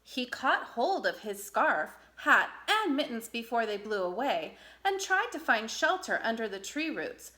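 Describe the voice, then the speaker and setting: Lady reading a picture book to kids, slow and bright, very expressive. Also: on the high side. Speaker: storybook reader. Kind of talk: expressive children's story narration.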